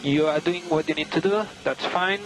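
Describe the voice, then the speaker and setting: A man working as a race engineer, giving calm, terse, repeated instructions over team radio. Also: fairly high pitch, normal speed. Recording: crackly team radio with music underneath.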